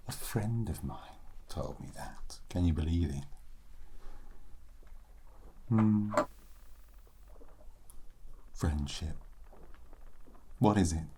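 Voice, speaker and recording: Performer acting as sassy undead immortal, deep pitch, breathy whispering, halting, dry scorn for mortals, ASMR roleplay, faint hiss. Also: dark tone, exaggerated voice. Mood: dry, wry. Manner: slow, halting, whispery, sardonic, philosophical.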